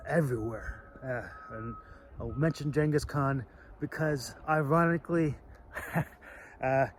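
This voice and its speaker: A man hosting a casual, off-the-cuff demonstration video, talking in a halting, rambling way with phrases that trail off. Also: medium pitch, slight background noise. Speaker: video host demonstrating sword carry.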